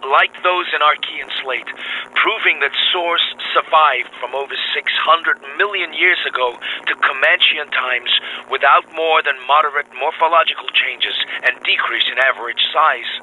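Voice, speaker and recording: An excited expedition scientist in a radio drama, sending bulletins by wireless. His voice is fairly high and extremely bright, with clipped, precise, urgent phrasing. Faint noise colours the transmission. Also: moderate pace, low-quality recording. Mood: excited, proud. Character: excited, driven male field scientist dictating wireless bulletins.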